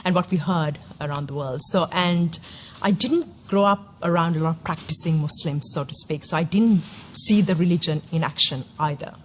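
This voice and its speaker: A woman's voice: low-pitched, normal speed, a little hiss or hum. A woman from Sri Lanka giving a personal testimony in an interview, hesitant, with many fillers and restarts.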